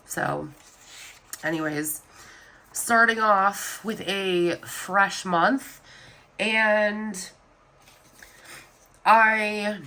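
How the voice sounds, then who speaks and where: A young woman with a Southern US accent chats casually while stuffed up with a cold, her voice nasal and sniffly. She speaks slowly, rambling with little energy, in a somewhat low, bright tone. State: sick with a stuffy nose, sniffling. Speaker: planner vlogger. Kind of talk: casual chat.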